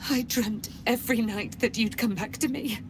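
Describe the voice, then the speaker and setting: A woman's voice: a little high, moderate pace, close to an everyday voice. A woman playing a devoted, noble warrior lover in a video game cutscene, solemn and impassioned. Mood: tender, solemn. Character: devoted, noble female warrior reunited with her love. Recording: clear background noise and ambience.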